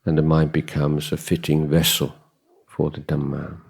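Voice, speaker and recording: A man giving a guided meditation talk as a Buddhist teacher, contemplative and measured, pausing often between short phrases. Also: very deep voice, monotone, vocal fry, a little hiss or hum.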